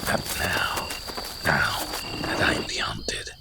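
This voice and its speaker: A man voicing a gruff, wounded, world-weary hunted antihero in a gravelly, breathy rasp, narrating a dramatic comic in a brooding, hard-boiled way. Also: takes it slowly, very noisy, natural voice. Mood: grim, pained. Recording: voice under loud music. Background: loud music.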